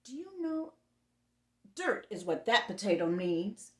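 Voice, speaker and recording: A woman voicing a bossy male mud monster in a storybook, booming, blunt and pushy. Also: big pitch swings, takes it slowly, echoey room. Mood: loud, insistent. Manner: blunt, insistent, taunting.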